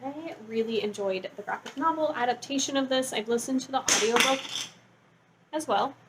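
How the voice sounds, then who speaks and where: A woman's voice: on the high side, brisk, heavy background noise. A woman book vlogger talking casually and informally to her viewers, gushing and saying "like" often. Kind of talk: casual chatty book review to camera.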